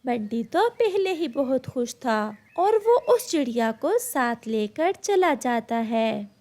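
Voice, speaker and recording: A woman's voice: fairly high pitch, very animated, slow pace, a little mellow. A woman narrating a children's cartoon story as a scripted storyteller.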